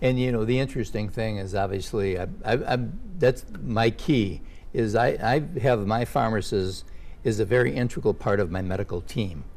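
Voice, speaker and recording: Older male host, gravelly, conversational, steers a health chat with questions. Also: lowish voice, normal speed, a little mellow. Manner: chatty, leading questions with personal asides. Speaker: show host. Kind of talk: casual health interview.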